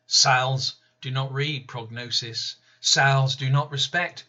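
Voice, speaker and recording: A man reading his own poem aloud in a slow, deliberate, measured way. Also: mid-range voice, fairly even intonation, sharp tone, dull audio. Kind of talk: spoken-word poetry reading.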